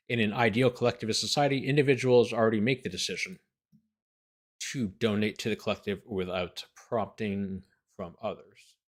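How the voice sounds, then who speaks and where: Male lawyer-host, medium pitch, document read-aloud with dry, incredulous running commentary. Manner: incredulous, sarcastic asides.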